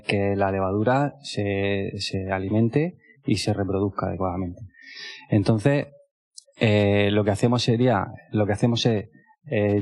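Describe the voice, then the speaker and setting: Low-voiced man, halting explainer, dry sound. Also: a little mellow, no echo. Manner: halting, often adds checking tags. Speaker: brewing expert. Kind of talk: unscripted explanation.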